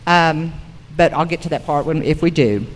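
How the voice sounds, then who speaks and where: A woman's voice: sits low, normal speed. A woman moderating a public forum, reading prepared material aloud to the room. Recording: reverberant hall recording.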